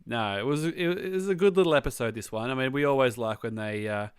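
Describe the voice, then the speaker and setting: A man's voice: mid-range voice, fast talker, clean tone, dry recording, clean studio sound. A man co-hosting a casual podcast, joking and talking in loose restarts. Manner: relaxed, joking, trailing restarts. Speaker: podcast co-host. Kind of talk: casual chat.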